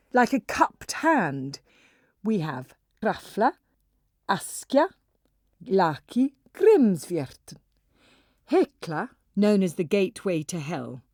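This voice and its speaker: A woman's voice: medium pitch, no echo. A woman playing a wry, exuberant Icelandic cafe owner and volcano guide, narrating in the first person with theatrical, confiding asides. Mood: exclaiming, awed. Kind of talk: dramatised first-person audiobook monologue.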